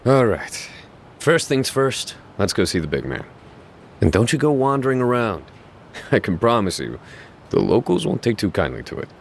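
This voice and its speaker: An actor playing a wry, casual fixer and guide in a video game dub, with a glib, teasing way of talking. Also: male voice, medium pitch, sing-song, normal speed, gravelly, slight background noise. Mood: breezy, wry. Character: breezy, wry male real-estate fixer and local guide.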